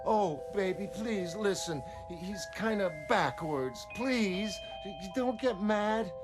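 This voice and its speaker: A man's voice: high-pitched, lively intonation, slow pace, over music, voice pushed up. A man voicing a harsh, needy woman, a prostitute and mother, in a motion comic drama, pleading and scolding.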